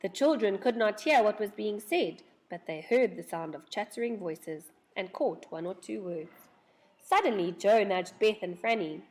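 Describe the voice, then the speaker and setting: A woman reading a children's storybook aloud in an expressive, dramatic storytelling manner. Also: mid-range voice, a little slow, poor audio.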